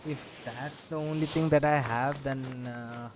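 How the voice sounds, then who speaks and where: A man's voice: mid-range voice, steady tone, unhurried, noisy background. A man giving casual gameplay commentary as a streamer, thinking aloud in a rambling, hesitant way. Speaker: gaming streamer. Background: loud game music.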